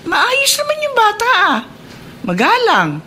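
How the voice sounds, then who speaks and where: A woman playing a concerned older mother in a Filipino radio drama, probing and gently admonishing. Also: extremely high pitch, wildly swooping pitch, slow, slight background noise, exaggerated voice. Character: concerned older mother of a grown married daughter.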